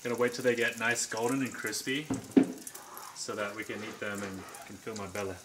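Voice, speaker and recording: A man's voice: on the low side, fairly even intonation, fairly quick. A young adult man casually narrating a home cooking video in a playful, joking way. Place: home kitchen and dining room. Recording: clearly noisy home camera sound.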